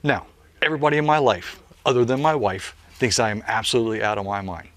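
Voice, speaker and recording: A man's voice: lowish voice, fast talker, fairly bright tone, no room sound. A man in his late forties, a parachute jumpmaster, telling stories in a casual interview with an earnest manner and many "you know" fillers. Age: late 40s.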